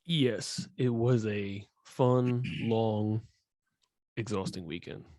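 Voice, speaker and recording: A man co-hosting a casual podcast, blunt and opinionated, who often clears his throat. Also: on the low side, steady tone, extremely slow, darkish tone, dry recording. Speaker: podcast co-host.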